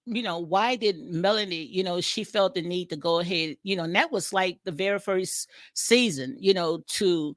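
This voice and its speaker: A woman's voice: fairly low pitch, normal speed, hardly any pauses, dry recording, clean studio sound. A woman with a Southern US accent giving rambling casual commentary on a reality show during a livestream, full of fillers, restarts and repeated phrases. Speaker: commentary vlogger.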